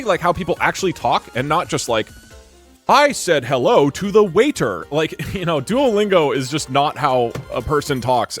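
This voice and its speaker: A man streaming from a green screen setup, chatting casually and rambling with lots of restarts while reacting to viewer comments. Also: fairly high pitch. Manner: rambling, animated, frequent restarts and fillers. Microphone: desk mic at a green screen setup.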